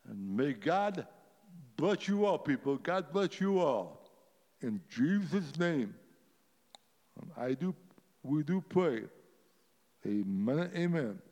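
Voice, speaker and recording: A man prays aloud very slowly, halting and repeating himself as he blesses the people around him. His voice sits a touch high for a man. A long hall reverb trails after him. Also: darkish tone. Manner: halting, repeats words, old-fashioned "thee". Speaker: congregation member leading prayer. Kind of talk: spontaneous spoken prayer.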